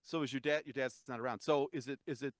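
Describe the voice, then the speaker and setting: A middle-aged man from around Detroit who talks in a chatty, joking way and asks a stream of questions. His voice sits at an ordinary pitch for a man. He co-hosts a relaxed conversation. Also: fast talker. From Detroit area. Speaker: podcast co-host. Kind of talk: casual chat.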